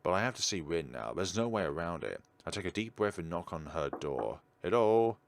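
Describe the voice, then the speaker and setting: Low-voiced man reading a game aloud. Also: sing-song, brisk, vocal fry. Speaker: let's play host. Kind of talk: reading game narration aloud with ad-libbed commentary.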